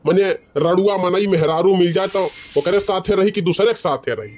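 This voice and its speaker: A man's voice: sits high, normal speed, a little mellow, natural voice. A man voicing a bickering, boastful village man in a comedy cartoon, with a rustic Awadhi accent from eastern Uttar Pradesh. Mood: scheming. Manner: colloquial rustic banter.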